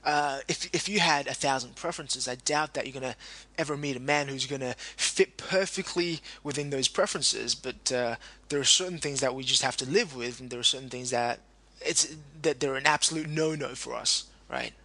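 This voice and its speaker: Man, interview host, sharp bright tone, agreeable, conversational. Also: mid-range voice, normal speed. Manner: agreeable, frequent backchannels, laughs easily.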